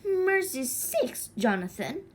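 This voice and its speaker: A woman voicing a kindly, brisk storybook aunt who exclaims and fusses with drawn-out emphasis. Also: sits high, very animated, voice pushed up, full character voice. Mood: surprised, welcoming.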